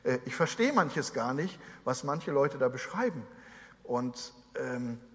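Earnest German pastor in a reverberant hall. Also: male voice, medium pitch, sing-song, takes it slowly, a bit bright. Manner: earnest, conversational preaching with asides to the congregation. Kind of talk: sermon.

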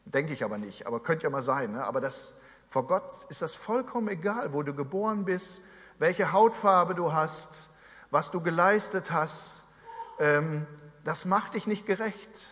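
A man's voice: fairly high pitch, animated, a little slow, fairly bright tone. A man in his forties from Germany preaching a sermon, earnest and conversational with asides to the congregation. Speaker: pastor. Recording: long hall reverb.

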